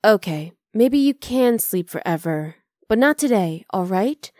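A woman's voice: mid-range voice, animated, measured pace, a little mellow, no room sound, close to an everyday voice. Close, dry, teasing half-dragon girlfriend voiced by a woman. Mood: coaxing, teasing. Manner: casual, coaxing, affectionate teasing. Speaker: ASMR roleplay voice actor. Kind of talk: ASMR roleplay acting.

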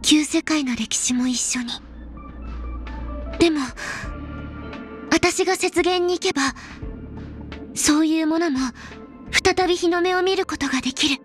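A laconic, high-voiced young explorer. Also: female voice, unhurried, long pauses, soft background music. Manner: low-key, laconic, softly deadpan. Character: aloof young female snowfield explorer girl. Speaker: game character voice. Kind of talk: game voice lines, Japanese dub.

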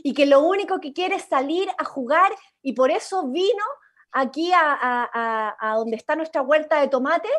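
Workshop director: Chilean woman, high-pitched, animated, encouraging, on a video call. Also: normal speed. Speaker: workshop facilitator directing radio play. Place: indoors at home, video call. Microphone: computer mic on video call.

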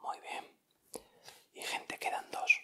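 A man's voice: slow pace, pushed and bright. A young man with a Castilian Spanish accent whispering in ASMR style as a host, addressing his viewers directly and without hurry.

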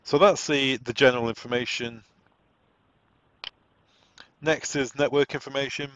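A man giving an informal software setup walk-through, explaining conversationally and thinking aloud as he goes. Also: mid-range voice, steady tone, measured pace. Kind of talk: informal software tutorial narration. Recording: dull, lo-fi, dry close recording.

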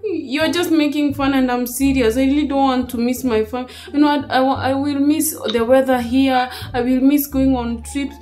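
A young Kenyan woman, a native Swahili speaker, talks in a fairly high voice. She sounds plaintive and casual, as on a personal call, with music behind her. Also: fairly even intonation, normal speed, darkish tone. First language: Swahili and a mother tongue. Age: young adult. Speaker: vlogger playing a prank on her partner. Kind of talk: casual relationship phone chat. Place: small living room, seated on a sofa indoors.

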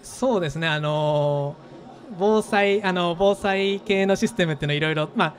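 A man in his thirties, a startup founder being interviewed on an expo stage, talking quickly and thoughtfully with many fillers into a handheld mic. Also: sits high. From Japan, Gifu. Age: 30s.

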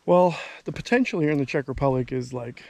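A man's voice: medium pitch, a little fast, vocal fry. Rambling man vlogging on a country walk. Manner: rambling, relaxed, thinking aloud with fillers. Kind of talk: casual walking vlog. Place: outdoors on a country road between fields. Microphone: handheld camera at arm's length.

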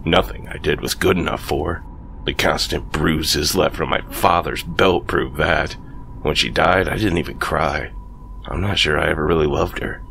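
A man narrating a first-person horror story in a slow, brooding scripted read. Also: very deep voice, steady tone, creaky, fairly bright tone, slight background noise.

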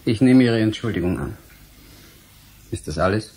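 Husky, defiant scientist. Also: male voice, fairly low pitch, expressive, darkish tone. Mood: cool, firm. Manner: formal, firm, measured. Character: defiant, principled younger scientist and lecturer. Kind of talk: German radio play acting.

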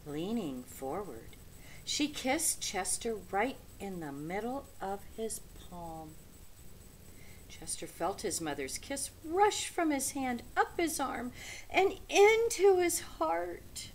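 Slow, expressive woman reading a storybook. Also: fairly high pitch, slight background noise, low-quality recording. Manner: expressive, deliberate. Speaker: storybook reader. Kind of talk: children's read-aloud narration. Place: small indoor room with bookshelf.

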